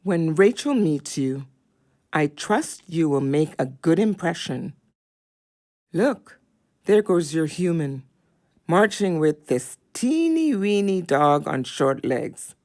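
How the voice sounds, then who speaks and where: A woman playing a fond, guiding dog in an audio play, speaking gently and deliberately. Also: sits low, very animated, exaggerated voice. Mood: fond, teasing. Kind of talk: audio play acting, narrating.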